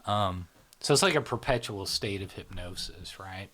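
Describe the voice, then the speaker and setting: A man's voice: sits low, animated, moderate pace, a bit bright. A man hosting a podcast, explaining ideas in a loose, rambling way with many fillers and tag questions. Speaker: podcast host. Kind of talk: casual explanatory chat.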